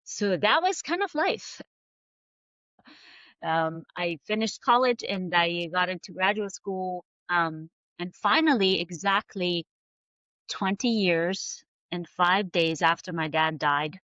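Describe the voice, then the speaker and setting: A woman's voice: lowish voice, slow, a bit bright, dry recording, dull audio. A woman in her forties, a native Farsi speaker, earnestly recounting her past as a podcast guest, with many fillers and restarts. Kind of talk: personal storytelling in a podcast interview.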